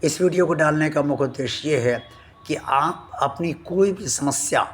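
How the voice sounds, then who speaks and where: A man hosting a devotional channel, speaking to his viewers slowly and earnestly, his voice still weak from a sore throat. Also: fairly high pitch. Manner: slow, earnest and devout, addressing viewers formally. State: recovering from a sore throat, voice not at full strength.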